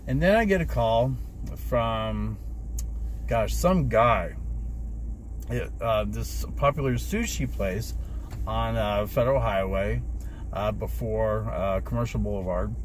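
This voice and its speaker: A man's voice: fairly low pitch, takes it slowly. A man of fifty telling a rambling story to his viewers, with plenty of fillers, and acting out the voices of the people he quotes. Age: 50s. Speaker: rideshare driver vlogger. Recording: noisy street and traffic background.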